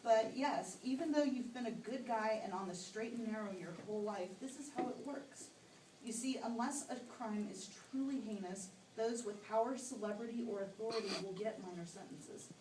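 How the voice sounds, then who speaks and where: A woman's voice: a little high, moderate pace, reverberant, distant mic, background noise, lightly acted. A woman voicing a knowing, cynical ghost who explains things matter-of-factly in a puppet show. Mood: knowing, resigned. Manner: lecturing, explanatory.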